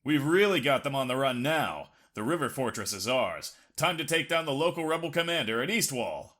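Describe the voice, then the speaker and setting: A man's voice: medium pitch, sing-song, a little fast, a bit bright. A man voicing a confident, ambitious young imperial soldier in a video game fan dub. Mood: determined.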